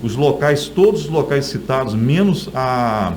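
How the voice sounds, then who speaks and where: A man's voice: medium pitch, animated, measured pace, darkish tone. A middle-aged man speaks with a Brazilian accent in a conversational interview. He is an author telling stories in a mid-range voice. Some light noise sits under the recording.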